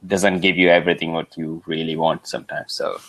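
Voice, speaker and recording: A man who is a guest in a casual chat, soft-spoken and a little hesitant, agreeing often. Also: very deep voice, level tone, brisk, poor audio.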